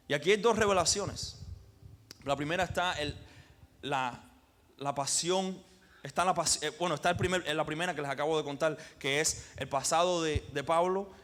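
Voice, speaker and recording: A male pastor preaches with conviction. His voice sits a little high, and he leans hard into key words before dropping low and quiet. The delivery is an impassioned sermon full of emphatic swells and pauses. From Cuba.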